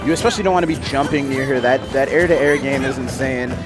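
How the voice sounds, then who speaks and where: A young man giving relaxed, analytical live esports commentary with lots of fillers. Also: medium pitch, quick pace, music in the background.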